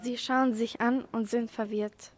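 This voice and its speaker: A woman's voice: on the high side, little pitch movement, unhurried. A young female school pupil reading out the scripted narration of a radio play. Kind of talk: scripted story narration, read aloud.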